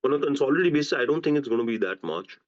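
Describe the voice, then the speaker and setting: A man speaking as a company executive in unscripted business Q&A, halting and often restarting his phrases, on a conference call. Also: medium pitch, animated. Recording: dull, lo-fi conference call audio.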